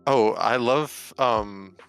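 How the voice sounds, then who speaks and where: A man's voice: mid-range voice, drawn-out words, smooth, faint music behind. A young American man, a guest on the show, chatting casually and rambling with lots of "like" and "um", Romanian spoken at home. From United States. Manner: filler-heavy, loose and rambling. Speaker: guest, professional GeoGuessr player. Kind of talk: casual chat.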